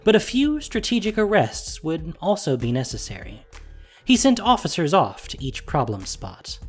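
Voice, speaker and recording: A narrator reading a scripted fantasy story in an expressive storytelling manner. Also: mid-range voice, breathy edge.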